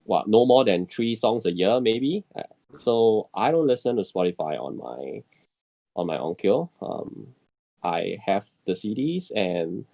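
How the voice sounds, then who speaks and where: A male panelist with a low, gravelly voice talks casually. He often doubles and triples small words as he works toward his point. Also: a little mellow. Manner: stammering repetition of words. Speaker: podcast panelist. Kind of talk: casual chat.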